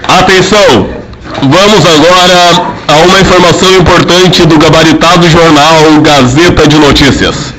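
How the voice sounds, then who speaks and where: A man's voice: fairly high pitch, moderate pace, rough recording. A young man playing a formal radio news announcer, reading scripted narration.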